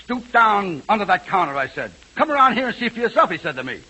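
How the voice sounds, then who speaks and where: A man's voice: high-pitched, sing-song, fast talker, bright, forceful tone, slightly rough, heavily acted, rough recording. A man acting a grumbling, folksy customer who tells his tale emphatically in a 1940s radio advertisement. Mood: grumbling, emphatic.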